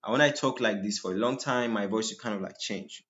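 A man teaching a slide-based medical lecture in a deep, just-woken voice. He keeps asking rhetorical "what?" questions and answers them himself. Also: a little fast, a bit bright. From Nigeria. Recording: dull lo-fi screen recording.

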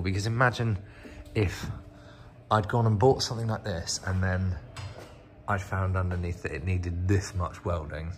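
A man's voice: sits low, slight background noise. A British man giving a casual, off-the-cuff walk-around commentary as a vlogger, recorded in a workshop. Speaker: vlogger, host of a channel about rotten old cars. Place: inside a car workshop.

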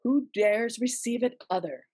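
A woman playing a steely, scheming noblewoman in an amateur read-through of a classical verse drama, in a measured, dramatic manner. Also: fairly high pitch, a little mellow, slightly rough. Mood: challenging. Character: scheming, steely wife of a murderous lord. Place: small room at home, indoors. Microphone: computer mic on a video call.

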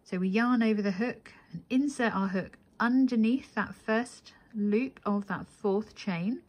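Husky-edged woman giving craft instructions. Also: mid-range voice, unhurried, darkish tone. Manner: methodical, counting steps aloud. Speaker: crochet tutorial instructor. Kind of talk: step-by-step craft tutorial narration.

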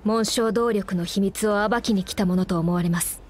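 An actress playing a polite young woman ship officer who reports in a calm, precise, formal way in a video game cutscene. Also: mid-range voice, moderate pace, slight background noise, lightly acted. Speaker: game character.

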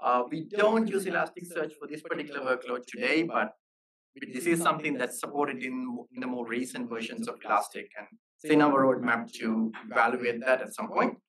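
Man, medium pitch, technical presentation, explanatory, halting phrasing, slightly off-mic live recording. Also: a bit bright. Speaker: software engineer presenting at a meetup. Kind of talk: technical conference talk. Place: live meetup talk. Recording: voice slightly distant from microphone.

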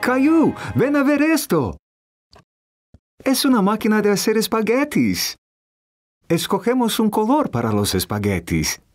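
A woman's voice: mid-range voice, very animated, a little slow, long pauses, darkish tone, faint music behind. A woman voicing a friendly, playful parent in a children's cartoon, upbeat and explanatory.

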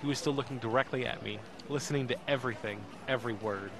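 An actor playing an anxious, emotional young man who narrates his ordeal in an audio drama, intense and halting. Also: mid-range voice, background noise, natural voice. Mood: tense, afraid. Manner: intense, halting, repeats words.

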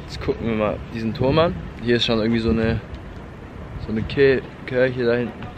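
Junger Mann vloggt locker, laute Umgebung. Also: male voice, mid-range voice, fairly even intonation, brisk, lo-fi sound. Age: young adult. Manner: loose slangy chatter, narrating on the move. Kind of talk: casual travel vlog chat. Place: outdoors and in a car on a road trip. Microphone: handheld camera at arm's length. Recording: loud wind and traffic noise outdoors.

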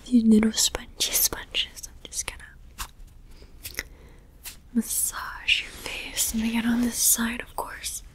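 A woman whispering softly in an ASMR personal-attention roleplay, gently reassuring, with repeated trigger words and small chatty asides. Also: on the high side, completely flat delivery, slow pace, long pauses. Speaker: ASMR creator.

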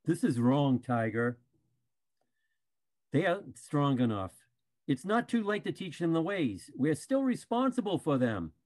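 A man's voice: fairly high pitch, sing-song, unhurried, darkish tone. An older man playing a patient panda bear peacemaker in a dramatic play reading, earnest and imploring. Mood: pleading. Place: video call.

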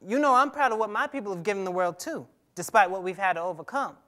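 A man's voice: high-pitched, fast talker, sharp tone. A young man playing a proud, bitter teenage boy from 1950s America in a staged reading, emphatic with an occasional stammer. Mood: earnest. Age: young adult. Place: theatre stage before a seated audience. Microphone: clip-on lapel mic.

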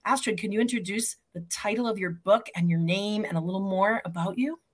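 A woman's voice: medium pitch, brisk. A middle-aged woman, hosting a conversational interview over a video call. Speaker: editor, interviewer. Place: indoor home room, video call. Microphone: computer video call microphone.

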